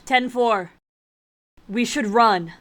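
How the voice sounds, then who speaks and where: A woman's voice: fairly high pitch, very slow, a bit bright. A woman playing a worried, cautious agent in an audio drama. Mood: anxious.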